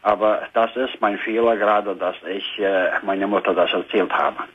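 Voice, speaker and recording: A man in his fifties with a Dutch accent, calling a radio show and searching haltingly for German words. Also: on the low side, steady tone, breathy edge. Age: 50s. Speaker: caller. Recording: tinny phone line.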